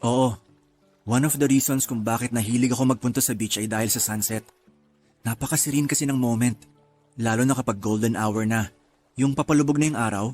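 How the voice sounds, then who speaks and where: A man's voice: medium pitch, brisk, a little mellow, close to an everyday voice. A man playing an easygoing, wistful young city man in a radio drama, casual and sincere. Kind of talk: Tagalog radio drama acting with English mixed in. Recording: music under the voice.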